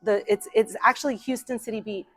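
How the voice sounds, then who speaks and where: A woman hosting an interview show, upbeat and encouraging, chiming in with quick affirmations. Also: on the high side, fairly even intonation, fairly bright tone, soft background music. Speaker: podcast host. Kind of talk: casual interview chat.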